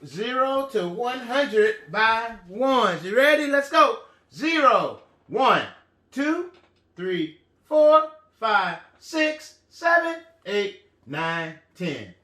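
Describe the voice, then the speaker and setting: A man leads young children through a counting lesson with an encouraging, animated delivery. His voice is strikingly high and bright, and he takes his time with short, repeated phrases. The sound is roomy and echoing. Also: very slow. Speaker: elementary teacher and lesson host.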